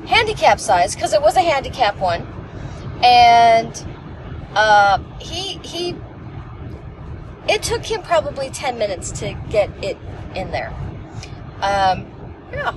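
A woman's voice: a little high, very animated, slow, pauses often. Chatty woman in a noisy car. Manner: chatty, rambling storytelling. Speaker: passenger. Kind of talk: casual chat. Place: inside a moving car. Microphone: camera on the dashboard. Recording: clear road noise in a car.

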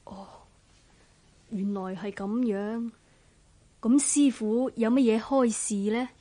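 A woman's voice: a little high, takes it slowly, dark tone. An actress playing a devout, deferential female disciple in a radio drama, speaking politely with a Hong Kong Cantonese accent. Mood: respectful. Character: respectful, devout female former maidservant disciple.

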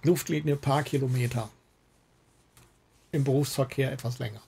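A man's voice: mid-range voice, steady tone, normal speed, gravelly, mellow, husky. A man hosting a gaming livestream, chatting casually with guests and viewers. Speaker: livestream host. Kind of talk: casual gaming stream chat. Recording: faint background noise.

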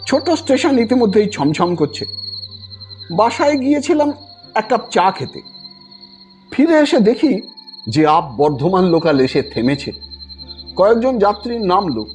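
A man's voice: sits high, a little mellow. A man narrating a scripted story in a theatrical, dramatic manner, acting out the dialogue as he goes. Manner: theatrical, acts out characters' dialogue.

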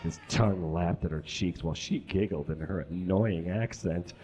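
A man's voice: low voice, normal speed, darkish tone, a little hiss or hum. A young man reading aloud theatrically on a small stage, emphatic and sometimes tripping over his words. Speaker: live reader on stage. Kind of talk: comic dramatic reading of fan fiction to an audience. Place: small indoor stage with curtains. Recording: slightly muffled live stage recording.

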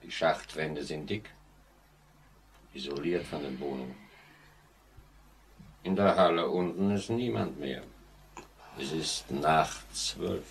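A menacing elevator operator with a very low voice. He speaks slowly, in terse, calm phrases. It sounds like an old radio thriller with light noise on the recording. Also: gravelly, exaggerated voice. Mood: flat, unconcerned. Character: sinister, unhurried, laconic male elevator operator. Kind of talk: 1940s German radio play acting. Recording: noisy vintage radio drama recording.

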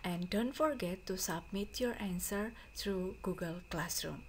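A woman teacher reading a storybook aloud to students in a deliberate, phrase-by-phrase way. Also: medium pitch, a little hiss or hum. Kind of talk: slow storybook read-aloud for a class.

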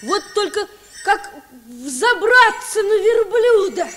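A man's voice: very high voice, very animated, slow pace, pushed and bright, soft background music, exaggerated voice. A man playing an eager, curious young boy in a children's radio play, wide-eyed and full of questions. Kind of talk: mid-century Soviet children's radio play acting.

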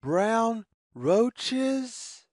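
A woman reading a children's picture book aloud as a storyteller, deliberately and in a sing-song way, pausing between phrases. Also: a little high, sing-song, darkish tone, no echo, studio quality.

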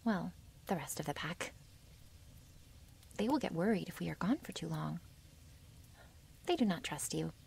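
Breathy, creaky werewolf girl acted by a woman. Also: on the low side, lively intonation, darkish tone, exaggerated voice. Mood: uneasy, guarded. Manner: halting, stiffly formal phrasing without contractions. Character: wary, possessive young female werewolf, tender yet menacing. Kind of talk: intimate ASMR roleplay, soft half-whispered delivery.

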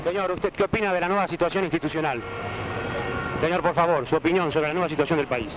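A male Argentine TV reporter works a city street with a handheld microphone. He fires formal questions quickly in a fairly high voice, on dull, noisy vintage newsreel audio. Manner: formal, insistent. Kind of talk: vox pop news interviewing. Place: outdoors on a busy city street.

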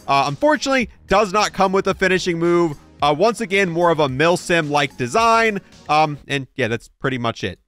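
A man's voice: a little high, sing-song, a little fast, few pauses, a bit bright. A man giving casual, matter-of-fact video game review commentary. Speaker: gaming video creator. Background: music.

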